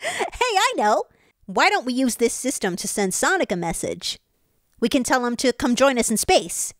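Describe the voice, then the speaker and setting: A clever, worried young male fox with a high, expressive voice, voiced by a woman. The sound is dry and close to the mic. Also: no room sound. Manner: earnest, concerned.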